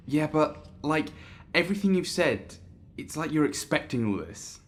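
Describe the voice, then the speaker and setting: A man's voice: a little high, sing-song, normal speed. A young male actor in an audio drama playing an inquisitive, eager would-be manager of influencers, casual and questioning.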